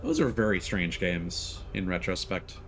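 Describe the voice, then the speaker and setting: A man giving casual, halting gameplay commentary as a live streamer, explaining as he plays. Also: low-pitched, wildly swooping pitch, a little hiss or hum. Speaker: live streamer playing a racing game. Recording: game music behind the voice.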